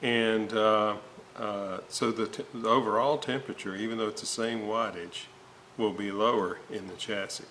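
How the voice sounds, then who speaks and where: A man giving an informal repair walkthrough at a workbench, halting and thinking aloud as he works. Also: on the low side, fairly even intonation, fairly bright tone. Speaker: host of a radio restoration video series. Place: indoor workbench, hands working on components. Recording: noticeable background noise.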